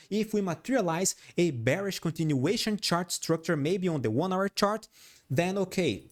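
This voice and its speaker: Brazilian guy with a portuguese accent doing chart commentary, voice bounces around a lot, kinda high, keeps saying "all right?". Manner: animated, repeats and restarts phrases, frequent "all right?" tag. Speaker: host. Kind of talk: stock chart analysis commentary.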